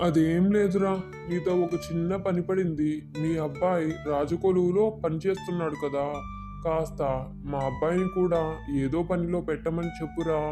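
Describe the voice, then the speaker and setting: A man's voice: on the high side, fairly even intonation, moderate pace, music in the background, lightly acted. A man playing an anxious middle-aged village father in a moral tale. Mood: friendly, requesting.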